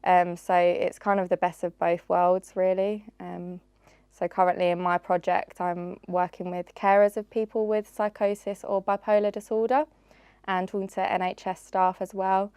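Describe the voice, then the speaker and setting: A woman's voice: fairly low pitch, flat delivery, normal speed, a little mellow, clear, resonant tone, no echo. A woman, a former master's student now a research assistant, thoughtfully explaining her career choice. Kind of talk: recorded career testimonial.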